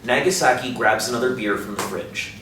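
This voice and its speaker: A man's voice: on the low side, fairly quick, fairly bright tone. A man in his thirties reading scripted stage directions as narrator of a play reading. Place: small indoor room, seated. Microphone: distant camera. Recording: echoey room, distant mic, faint noise.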